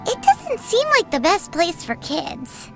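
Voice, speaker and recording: A squeaky little fairy sidekick from a game, crazy high and bouncy, cheeky, loud music under it. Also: female voice, huge pitch swings, slow, exaggerated voice. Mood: doubtful, fretful. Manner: squeaky, fretful, refers to herself by name. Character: tiny cheeky floating fairy girl companion. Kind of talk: video game voice acting.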